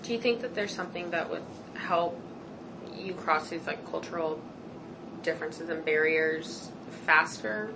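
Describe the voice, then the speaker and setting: A woman interviewer asking questions in a measured, inquiring way, heard off-mic. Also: deep voice. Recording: noisy, off-mic.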